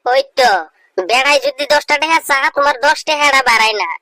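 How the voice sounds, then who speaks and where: A woman's voice: high voice, a little fast, sharp tone, no room sound, studio quality. A woman playing an aggrieved poor villager in an amateur comedy skit, complaining insistently in a rural Bengali dialect. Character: aggrieved poor villager scheming over family land. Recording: noisy amateur recording with clicks.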